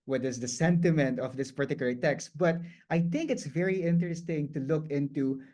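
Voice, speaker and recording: A man whose first language is Tagalog, giving a guest lecture in a loose, conversational way, often restarting phrases and filling pauses with "um" and "like". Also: a little high, darkish tone. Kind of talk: explanatory lecture.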